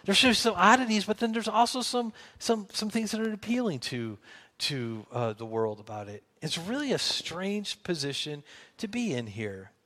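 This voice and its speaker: A male pastor with a Midwestern American accent gives a conversational sermon. His voice sits a little high for a man. He talks informally and haltingly, circling back to rephrase and asking rhetorical questions as he explains. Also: big pitch swings, pushed and bright.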